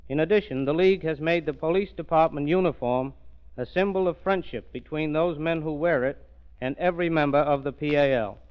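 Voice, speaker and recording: Earnest, smooth-voiced police official, a man. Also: a little high. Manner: earnest, formal, deliberate. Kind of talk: prepared on-air remarks. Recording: muffled 1930s radio broadcast.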